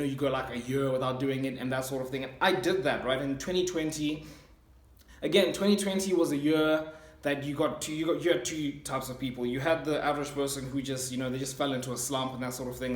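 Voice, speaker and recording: Twenty-something male vlogger, earnest and rambling, mid-pitched voice, roomy echo. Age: 20s. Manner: rambling, many fillers and restarts, earnest. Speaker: self-improvement channel host. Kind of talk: casual motivational monologue.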